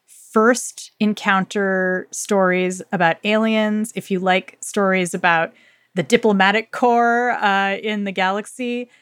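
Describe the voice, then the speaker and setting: A woman's voice: mid-range voice, fairly even intonation, slow pace, a bit bright, clear, resonant tone, no echo, studio quality. A woman co-hosting a podcast, chatting casually and effusively in colloquial, slangy language as she recommends books. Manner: enthusiastic, effusive. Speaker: podcast co-host. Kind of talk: casual book recommendation chat.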